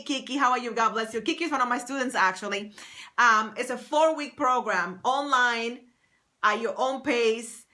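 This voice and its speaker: A woman's voice: fairly high pitch, bright, forceful tone. A woman writing coach on a live stream, talking enthusiastically to her viewers, greeting them by name and repeating her points. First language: English. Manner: enthusiastic, repetitive, reads out viewer names.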